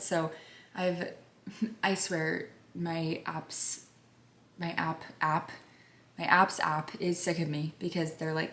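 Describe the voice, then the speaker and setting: A young woman with a soft, gentle voice, chatting casually through a makeup routine, rambling and sometimes whispering. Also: lowish voice. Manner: rambling, drops into whispers at times. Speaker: beauty vlogger. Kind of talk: soft chatty get-ready-with-me vlog. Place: home. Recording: echoey room with faint background noise.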